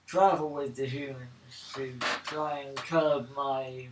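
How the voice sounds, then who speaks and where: A man's voice: mid-range voice, steady tone, slow. A man playing a tabletop role-playing game, hesitantly saying what his character does. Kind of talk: casual in-game play talk. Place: indoor room around a gaming table. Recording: distant mic, echoey room, noisy background.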